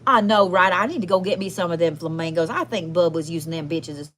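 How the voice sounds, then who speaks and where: A woman's voice: lowish voice, fast, a bit bright, a little hiss or hum, close to an everyday voice. A woman playing a brassy, foul-mouthed but kindhearted trailer park fortune teller with a Southern US accent, chatting folksily through a live card reading. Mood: exasperated, chatty. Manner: folksy, sweary, chatty, calls listeners honey and y'all. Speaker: livestream tarot reader. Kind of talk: casual comic live card reading in persona.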